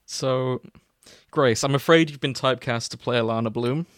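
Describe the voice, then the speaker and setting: A man hosts a podcast in a loose, comedic style. His voice sits at an average pitch and is recorded dry, with almost no room around it. He jokes easily and swears as he goes. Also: moderate pace, no room sound. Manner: joking, sweary. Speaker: podcast host. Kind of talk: casual comedic chat.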